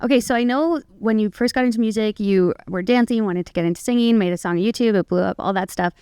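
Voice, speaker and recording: An upbeat woman from Canada hosting a relaxed interview, quick to affirm with short replies. Her voice is clear and smooth. The sound is dry and close. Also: medium pitch, no echo. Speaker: radio interviewer. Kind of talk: casual interview chat.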